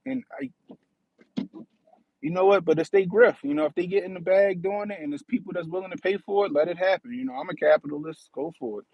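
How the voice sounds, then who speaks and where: A man's voice: high voice, brisk, slightly rough, no echo, dull audio. A man in his thirties hosting a casual livestream, talking in a slangy, profane way and often asking "you know what I mean". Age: 30s. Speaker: livestream host.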